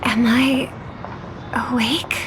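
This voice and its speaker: A woman's voice: on the high side, fairly even intonation, extremely slow, background noise. An actress playing a composed, resolute young woman in a video game, speaking with poised, earnest firmness. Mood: dazed, sorrowful. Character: composed, resolute young female festival host confronting a villain. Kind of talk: video game character acting. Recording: music behind the voice.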